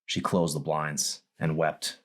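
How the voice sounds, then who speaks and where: A man's voice: very deep voice, level tone, slow pace. A man narrating his own novel as an audiobook reading.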